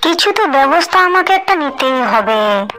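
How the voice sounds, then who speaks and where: A woman's voice: sits high, expressive, moderate pace, bright, forceful tone, faint music behind, low-quality recording. A woman voicing a scheming, cruel stepmother creature in a cartoon story, resentful and whining in manner. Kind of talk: dramatized cartoon story acting.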